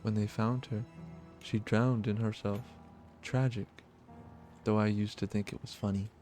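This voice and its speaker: A man's voice: fairly low pitch, level tone, measured pace, darkish tone, soft background music, lightly acted. A man voicing a creepy, lovesick young noblewoman in a visual novel, clingy and wounded. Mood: haunted, confiding. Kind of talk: visual novel dialogue read aloud.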